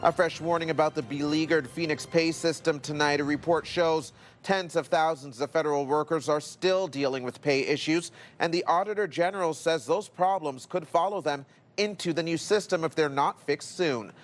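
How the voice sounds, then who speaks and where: A male news anchor reads scripted stories and links in a broadcast manner. His voice is fairly high for a man. Faint music can be heard behind him. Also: moderate pace.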